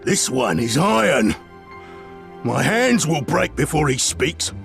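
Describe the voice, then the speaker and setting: A man playing a grim, hardened, terse fighter in a video game, with a gravelly voice. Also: a little high, big pitch swings, takes it slowly, fairly bright tone, faint music behind, exaggerated voice. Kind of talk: video game dramatic acting.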